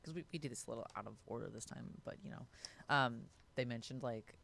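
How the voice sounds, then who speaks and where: A man co-hosting a podcast who works as an editor, chatting in an animated, emphatic way with quick asides. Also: mid-range voice, gravelly. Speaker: podcast co-host and video editor. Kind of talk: casual chat.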